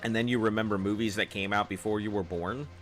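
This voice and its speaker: A man with a baritone voice chatting casually with viewers on a live game stream, in a loose, rambling way. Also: steady tone, fast talker, soft background music. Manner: rambling, loose, chuckling at chat.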